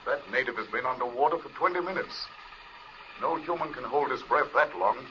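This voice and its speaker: A man's voice: medium pitch, fairly quick, background noise. An actor playing a skeptical male film hero, heard as a tinny old movie soundtrack.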